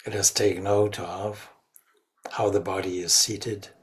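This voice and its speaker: A man leading a guided meditation as a spiritual teacher, speaking reverently in short, measured phrases. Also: low voice, level tone.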